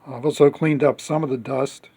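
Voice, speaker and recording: Slightly husky man explaining hands-on work. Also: mid-range voice, fairly even intonation, mellow. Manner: halting, pausing mid-sentence as he works. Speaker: hobbyist restoring a vintage radio. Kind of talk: casual hands-on demonstration, explaining step by step.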